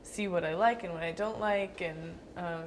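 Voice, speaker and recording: A young woman dancer answering interview questions thoughtfully, with frequent pauses and restarts. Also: lowish voice, a little hiss or hum. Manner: thoughtful, hesitant with fillers and restarts.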